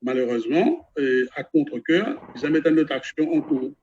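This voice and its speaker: A man's voice: fairly high pitch, expressive, normal speed, a little mellow, husky, lo-fi sound. A man with a Mauritian accent making an impassioned point on an online panel, stumbling and repeating himself. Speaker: panel speaker. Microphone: video call.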